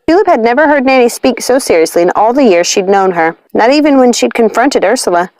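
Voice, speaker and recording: A woman's voice: a little high, animated, fast talker, a little mellow, no echo, low-quality recording. A woman narrating a scripted story as an audiobook reader.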